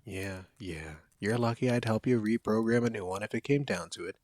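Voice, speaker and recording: A man's voice: lowish voice, fairly quick, darkish tone, dry recording, close to an everyday voice. A man playing a caring, protective young college friend in an intimate, one-sided audio roleplay, speaking in an earnest, conversational way. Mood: teasing.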